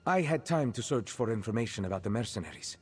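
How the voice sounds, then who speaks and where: A man voicing a gentle cat-folk former captive in a video game, speaking in a measured, formal way and referring to himself in the third person. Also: fairly low pitch, animated, husky. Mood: measured, informative.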